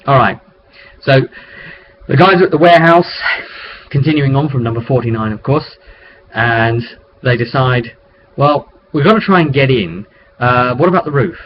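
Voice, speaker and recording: A man with an average-pitched voice talks through a roleplaying session as its game master. His delivery is unscripted, conversational and rambling. The sound is a muffled webcam recording with faint noise behind it. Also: animated, normal speed, long pauses. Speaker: tabletop game master hosting a session recap vidcast. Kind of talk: casual off-the-cuff storytelling recap of a roleplay session. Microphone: webcam microphone. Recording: dull lo-fi webcam audio with noise.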